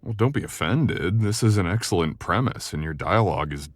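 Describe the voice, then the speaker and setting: A man playing a sharp, confident, flirtatious book editor in an intimate, conversational audio roleplay, speaking in a measured, teasing manner. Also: deep voice, expressive, no room sound. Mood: reassuring, earnest.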